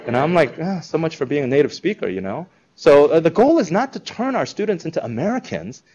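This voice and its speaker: A man giving an informal talk to a live audience, conversational and animated, acting out quoted reactions. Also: on the high side, a little fast, highs cut off. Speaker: speaker presenting a school project.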